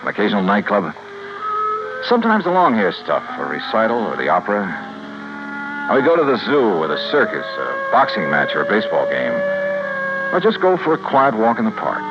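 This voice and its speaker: Low-voiced, expressive hard-boiled investigator. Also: male voice, normal speed. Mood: grieving, brooding. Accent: American. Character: hard-boiled male insurance investigator, grieving and hot-tempered. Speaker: lead actor and narrator. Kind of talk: 1950s American radio detective drama acting. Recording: dull vintage radio recording with music.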